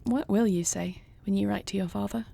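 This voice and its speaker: Soft, mellow, husky queen played by a woman, courtly and tender, dry wit, intimate fantasy audio roleplay. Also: medium pitch, steady tone, brisk. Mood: tender, reassuring. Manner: formal, courtly phrasing, soft and affectionate. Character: gentle, poised dragon queen, newlywed, tender and dryly witty. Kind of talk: intimate audio roleplay acting, addressed to the listener.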